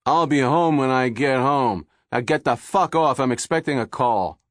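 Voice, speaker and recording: A crude husband with a thick New Jersey accent and a deep, gruff voice, talking loud and short, played by a male audiobook reader. The sound is dry and close to the mic. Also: moderate pace, dry recording, natural voice. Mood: curt. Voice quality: deep, coarse.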